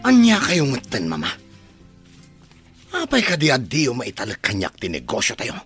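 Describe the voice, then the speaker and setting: A man's voice: medium pitch, wildly swooping pitch, moderate pace, fairly bright tone, soft background music. A man with an Ilocano accent playing a resentful, demanding adopted son in a television drama, confrontational and insistent. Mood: bitter, demanding.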